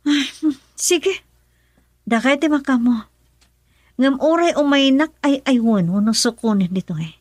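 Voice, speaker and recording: An actress playing a troubled young wife in an Ilocano radio drama, with a high voice and an Ilocano accent. She speaks anxiously and defensively, and the sound is dry and close. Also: measured pace, long pauses, dry recording. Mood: resentful. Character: troubled young wife, resentful of her husband. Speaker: daughter.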